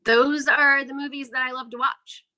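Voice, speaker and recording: Bright-voiced woman chatting as interview guest. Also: high voice, level tone, moderate pace. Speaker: interview guest, independent horror filmmaker. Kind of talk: casual conversational interview.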